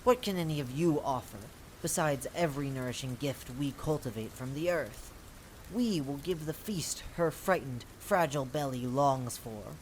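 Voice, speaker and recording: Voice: mid-range voice, moderate pace, slight background noise, close to an everyday voice, rough recording. A performer voicing proud, rivalrous fae courtiers of the seasons in a theatrical, declamatory audio-drama style. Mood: boastful.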